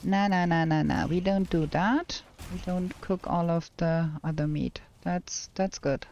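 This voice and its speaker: A woman's voice: on the low side, fairly even intonation, unhurried, darkish tone, slight background noise. A woman with a German accent streaming a game, thinking aloud and chatting with her viewers as she plays. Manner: talks to herself and chat while playing, thinking aloud. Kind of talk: casual gameplay commentary.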